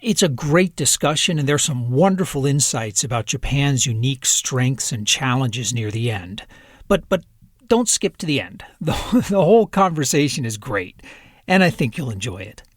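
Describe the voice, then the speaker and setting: A man's voice: mid-range voice, lively intonation, moderate pace, dry recording, clean studio sound. A man in his fifties who hosts a podcast and moderates a panel on stage at a conference, upbeat and talkative, now and then repeating words as he starts.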